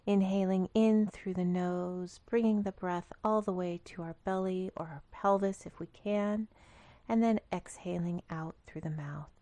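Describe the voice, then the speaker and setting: A woman leading a guided meditation in a calm, gentle, instructional manner. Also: on the low side, unhurried, no echo. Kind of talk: guided body scan meditation.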